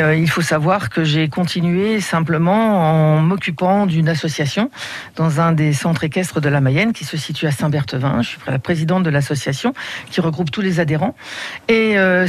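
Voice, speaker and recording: A woman's voice: lowish voice, dry recording. Hesitant middle-aged woman, dry studio sound. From Paris region. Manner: measured, fills pauses with frequent hesitations. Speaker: head of a regional riding committee, radio guest. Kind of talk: radio interview answers.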